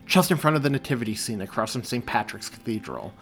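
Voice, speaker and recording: A male narrator plays a flustered young man in a dramatic story. He is nervous and trying to reassure, stumbling into hesitation and exasperation. His pitch is medium, with faint music underneath. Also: expressive, quick pace, fairly bright tone, breathy edge. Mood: urgent.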